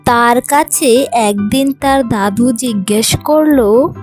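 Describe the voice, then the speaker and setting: A woman's voice: on the high side, slow pace, soft background music. A woman reading scripted narration for a children's fairy tale as its storyteller.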